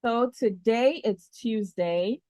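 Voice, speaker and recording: A woman hosting a casual live online talk show, unhurried and filling pauses with ums. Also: fairly high pitch, warm tone.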